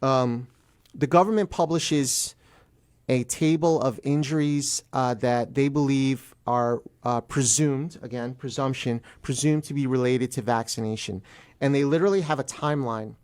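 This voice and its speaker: A man's voice: mid-range voice, moderate pace, darkish tone. Man explaining things as an interview guest. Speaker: guest attorney on a legal talk show.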